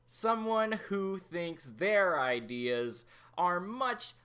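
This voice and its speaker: A man hosting a review show, giving opinionated episode commentary in a deliberate way. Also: high voice, very animated, fairly bright tone, clear, resonant tone. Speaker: host of an animated series review show.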